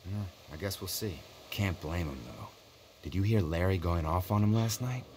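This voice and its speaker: A man's voice: low-pitched, animated, moderate pace, darkish tone, slight background noise. A man with an American accent playing a frustrated, hungry, talkative survivor in a video game. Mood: grumbling, wry.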